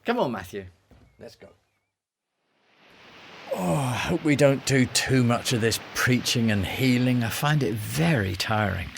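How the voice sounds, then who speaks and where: An actor playing an ambitious, teasing, easily exasperated disciple in a Bible radio drama, with a breezy, self-assured, grumbling manner. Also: male voice, mid-range voice, expressive, measured pace, gravelly, long pauses, a little hiss or hum.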